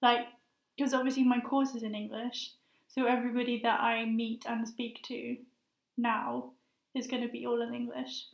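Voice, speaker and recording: A young woman in her twenties with a high voice, a master's student answering interview questions in a hesitant, thoughtful way with many fillers. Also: level tone, moderate pace, creaky. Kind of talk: casual conversational interview. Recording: roomy echo.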